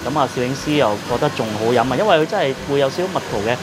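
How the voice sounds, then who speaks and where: Man, Hong Kong Cantonese accent, mid-range pitch, casual food vlog host, chatty explainer, clearly noisy background. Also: lively intonation, racing. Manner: chatty, explanatory, frequent sentence particles. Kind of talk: casual restaurant review narration.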